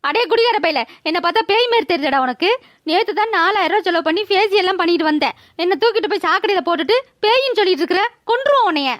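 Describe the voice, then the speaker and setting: A woman's voice: very high voice, expressive, very fast, fairly bright tone, dry recording, studio quality. A woman voicing a vain, petulant young daughter in a village cartoon, whiny and accusing, with a rural Tamil Nadu accent. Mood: outraged. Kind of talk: cartoon village drama voice-over.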